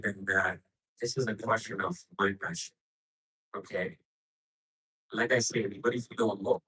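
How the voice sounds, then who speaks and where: Raspy low-voiced man giving advice. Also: a bit bright, low-quality recording. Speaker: panelist answering questions. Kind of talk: public Q&A answer.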